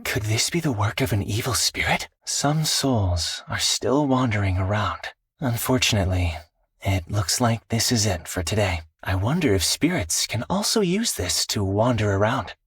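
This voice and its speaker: A man voicing an earnest, dutiful teenage exorcist swordsman in a video game, speaking solemnly and politely in measured phrases. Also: on the low side, lively intonation, husky, dry recording, studio quality. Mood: calm, thoughtful. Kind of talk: scripted video game character voice lines.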